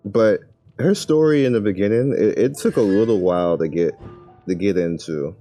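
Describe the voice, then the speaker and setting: A man's voice: on the low side, moderate pace, mellow, soft background music. A man giving casual gameplay commentary, thinking aloud in informal, slangy, muttered asides. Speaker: gaming video creator.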